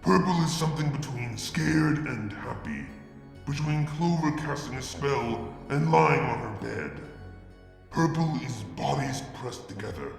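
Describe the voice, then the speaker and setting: A man reading a fanfic aloud in a soft, halting first-person narration. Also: mid-range voice, gravelly, echoey room, big-room sound. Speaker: fanfic reader. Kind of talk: dramatic story reading.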